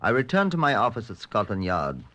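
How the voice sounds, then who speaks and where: Low-voiced British police chief inspector. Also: male voice, wildly swooping pitch, brisk, pushed and bright, muffled recording, heavily acted. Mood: measured narration. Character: composed, methodical male Scotland Yard chief inspector. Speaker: lead actor and narrator in a radio crime drama. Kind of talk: old-time radio drama acting.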